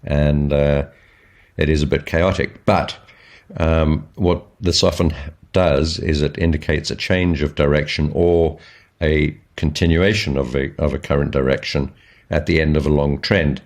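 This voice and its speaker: A man giving informal, explanatory market commentary as a trading educator, in a measured, step-by-step way. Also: very deep voice, fairly even intonation. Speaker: trading educator, channel host.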